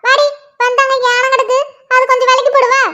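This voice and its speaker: A performer doing a shrill scolding village mother. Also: completely flat delivery, quick pace, bright, forceful tone. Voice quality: shrill, nagging. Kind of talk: one-person comedy skit. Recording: dull lo-fi recording.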